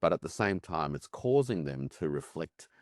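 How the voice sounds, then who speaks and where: A man speaking as an interview guest, thoughtful and reflective, pausing with "um" as he works through his analysis. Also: very deep voice, expressive, no room sound, studio quality.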